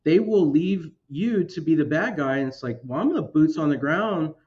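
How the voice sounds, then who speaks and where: An earnest man talks as a guest in a casual chat, halting and restarting as he goes. His voice sits a little high. The recording sounds somewhat dull and lo-fi. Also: animated. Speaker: guest, technology officer.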